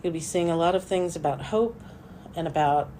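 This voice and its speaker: A woman hosting a solo faith-based podcast, talking earnestly and encouragingly while hoarse from losing her voice. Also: lowish voice, normal speed, warm tone, a little hiss or hum, poor audio. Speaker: podcast host for a small publisher.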